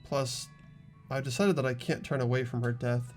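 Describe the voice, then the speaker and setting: A man plays an earnest teenage boy from a video game. His medium-pitched voice is resolute and emphatic with some grief in it, and music runs behind. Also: fairly even intonation, moderate pace, close to an everyday voice. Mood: grieving, subdued. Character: earnest, grieving, resolute high school boy. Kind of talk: amateur game voice acting.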